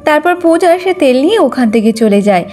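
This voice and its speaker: A woman's voice: high voice, animated, fairly quick, music in the background. A woman reading scripted narration for a cartoon moral story.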